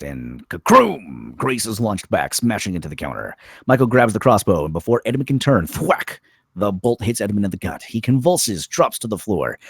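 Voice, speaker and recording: A man reading screenplay directions as a dramatic narrator, in clipped, suspenseful phrases with pauses for effect. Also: lowish voice, slightly rough, no echo, clean studio sound. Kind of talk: dramatic scripted narration at a table read.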